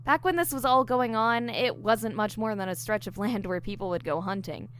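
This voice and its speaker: Woman acting as eager young female investigator, earnest, expository, mid-pitched, audio drama, faint background noise. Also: moderate pace, close to an everyday voice. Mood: assured, explanatory. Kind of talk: audio drama dialogue, explaining case theories.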